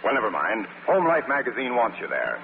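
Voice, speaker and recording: An actor in a 1940s radio play, playing a brusque, commanding army major who talks in clipped orders. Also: male voice, on the low side, wildly swooping pitch, a little fast. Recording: very noisy, tinny old radio recording.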